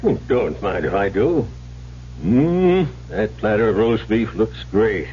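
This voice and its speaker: Folksy, lowish-voiced country sheriff. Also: male voice, very animated, warm tone. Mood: genial, probing. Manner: folksy, unhurried confidence. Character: folksy, shrewd country sheriff, easygoing and confident. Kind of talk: old-time radio drama acting. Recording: muffled, noisy old radio recording.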